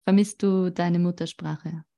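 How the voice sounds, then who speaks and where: A woman's voice: on the low side, steady tone, measured pace, vocal fry, warm tone. A woman hosting an interview, attentive and affirming as she asks her guest questions. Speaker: podcast host.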